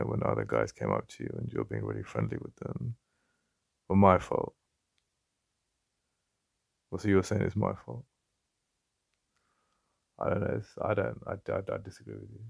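A man with an English accent playing a jealous, possessive yet vulnerable boyfriend in an intimate ASMR roleplay, halting and conversational with a gravelly voice. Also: fairly low pitch, darkish tone, no echo, clean studio sound, heavily acted. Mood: annoyed, defensive. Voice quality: gravelly, creaky. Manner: halting, repeating himself, conversational. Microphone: close, dry studio-style mic.

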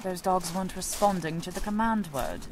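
An actress playing a prim, rule-bound research project director in an audio drama, formal and officious. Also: female voice, fairly low pitch, expressive, normal speed, noisy background, lightly acted. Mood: officious, defensive.

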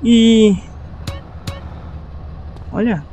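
High-pitched Brazilian male gamer. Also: fairly even intonation, extremely slow, warm tone. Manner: slangy, frequent tag questions. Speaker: gaming video host. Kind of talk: casual gameplay commentary. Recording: noisy game and car sounds behind. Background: game traffic ambience.

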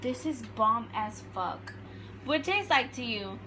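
A woman's voice: a little high, animated, a little slow, noisy background. A woman, chatting casually and swearing freely as she vlogs into a phone. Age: 20s. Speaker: vlogger. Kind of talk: casual vlog chat.

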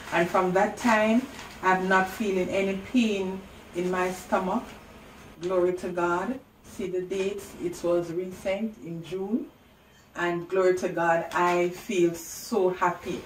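A woman with a Jamaican accent giving an earnest, thankful testimony at home. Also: fairly low pitch. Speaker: testimony giver. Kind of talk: video testimony. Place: room at home. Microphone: camera a few steps away. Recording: echoey room, distant mic, faint noise.

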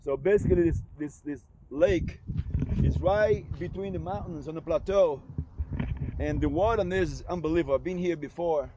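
A man's voice: a little high, normal speed, dull audio. A man narrating a travel vlog in a casual, rambling, informal way. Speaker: travel vlogger. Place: outdoors at roadside scenic stops. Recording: windy, noisy outdoor recording.

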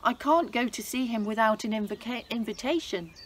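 A woman's voice: fairly high pitch, fairly quick, close to an everyday voice. A woman voicing a brave young queen who speaks firmly and earnestly in a read-aloud Bible story. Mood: worried. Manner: firm, earnest.

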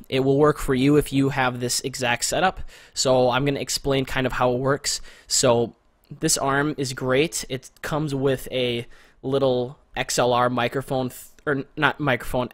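A young man in a small bedroom giving a casual, rambling walkthrough of his recording gear, close to a condenser mic, in a masculine but not very deep voice. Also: no echo. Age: young adult. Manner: relaxed, rambling, repeats words for emphasis. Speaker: gear reviewer. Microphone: studio condenser mic with pop filter, close.

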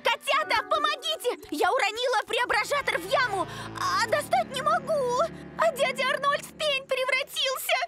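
A woman voicing a small, eager, excitable young girl animal in a children's cartoon, exclaiming anxiously. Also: extremely high pitch, moderate pace. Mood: alarmed. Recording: loud music behind.

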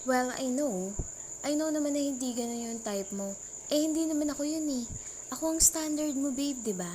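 A woman's voice: high voice, normal speed, warm tone, lightly acted. A woman playing a mildly jealous, playful young girlfriend with a Filipino accent in an intimate, teasing roleplay audio. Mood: jealous, mock-angry. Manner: teasing, mock-threatening, sarcastic, switching between Tagalog and English. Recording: noisy track with cricket and insect sounds.

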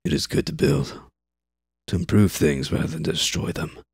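A man voicing a strong, taciturn male laborer who speaks in gruff, terse words. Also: very deep voice, normal speed, no room sound, clean studio sound, heavily acted.

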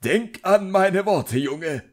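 Casting: pompous, irate middle-aged wizard bureaucrat for an audiobook, raised pitch, barking contempt, performed by a male narrator. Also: expressive, takes it slowly, a little mellow, full character voice. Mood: threatening.

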